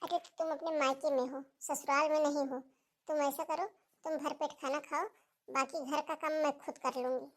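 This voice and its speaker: A woman's voice: sits high, steady tone, moderate pace, natural voice. A woman voicing a protective, blunt middle-aged mother in a cartoon family drama, speaking in a firm, plain way. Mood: caring, coaxing.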